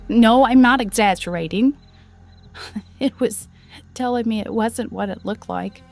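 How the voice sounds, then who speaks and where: Actress as betrayed woman, creaky voice, unhurried halting speech, audio drama, conversational. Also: medium pitch. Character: heartbroken betrayed woman retreating to a cabin. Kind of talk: audio roleplay drama, one side of phone call.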